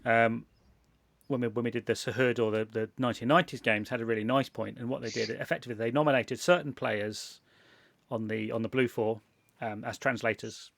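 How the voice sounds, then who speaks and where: A man from England hosts a relaxed chat, wandering through his points with restarts and chuckles. His voice carries a gravelly edge, recorded dry and close. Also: medium pitch, a little fast, dry recording. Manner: rambling, self-interrupting, laughs often. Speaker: podcast host. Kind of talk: casual chat.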